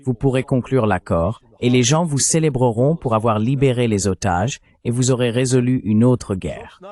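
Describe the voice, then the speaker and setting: A man's voice: mid-range voice, moderate pace, a little mellow. A man reading a translated voice-over of a political discussion in a blunt, opinionated way.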